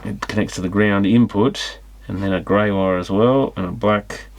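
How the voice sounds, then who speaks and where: A man with a fairly low voice talks casually through an electronics teardown. He muses aloud in an unscripted way, working things out as he goes. There is some faint noise behind him. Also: steady tone, moderate pace. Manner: musing, thinking aloud. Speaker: electronics repair hobbyist presenting a teardown.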